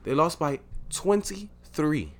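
A young man co-hosting a podcast, teasing and arguing loudly about sports in casual chat. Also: on the high side, takes it slowly, a little hiss or hum. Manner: teasing, argumentative, gets loud and excited arguing sports. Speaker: podcast co-host.

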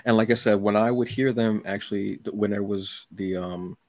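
A man with a slightly low voice hosts a casual interview in a very dry-sounding setting. He talks conversationally, restarting phrases and slipping into little acted-out asides. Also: steady tone, normal speed, no echo. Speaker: podcast host.

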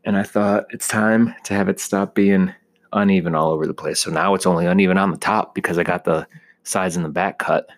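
American guy in his 30s, deep voice, just rambling casually, kinda self-deprecating and jokey. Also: steady tone, brisk. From United States. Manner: joking, self-deprecating exaggeration. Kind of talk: casual rambling solo monologue.

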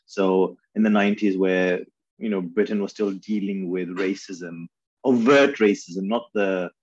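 A man from Pakistan, in his thirties, talking through his memories as an interview guest, thoughtful and halting with many fillers and restarts. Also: low-pitched, fairly even intonation, no room sound, lo-fi sound. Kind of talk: reflective conversational storytelling.

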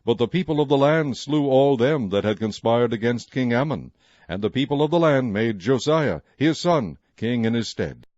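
A man's voice: mid-range voice, animated, moderate pace, darkish tone, no echo. Man reading scripture, slightly dull dry sound. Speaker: Bible reader.

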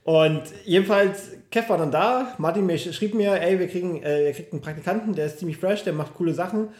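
A man in his thirties speaks as a guest in a casual conversation. His voice sits a little higher than most men's. He tells stories informally, and the room echoes noticeably. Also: fairly quick, a little mellow. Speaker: podcast guest. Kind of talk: casual chat, personal storytelling. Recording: roomy, echoing room.

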